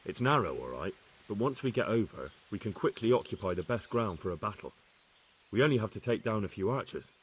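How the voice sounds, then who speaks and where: Game role: humble young soldier reporting to his superior, fairly low, plain and respectful. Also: lively intonation, fairly quick, husky. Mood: careful, matter-of-fact. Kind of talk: video game cutscene acting.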